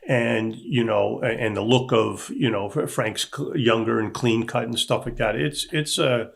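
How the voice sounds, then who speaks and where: A man casually discussing a script in halting phrases, often voicing imagined quotes to make his point. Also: medium pitch, gravelly, fairly bright tone.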